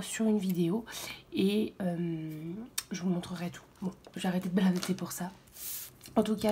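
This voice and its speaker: Hoarse woman vlogger, hushed and halting, dark mellow tone, faint hiss behind. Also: mid-range voice. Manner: soft, half-whispered, hesitant with many fillers. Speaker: budget vlogger. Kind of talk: casual budget walkthrough. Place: at home, early morning.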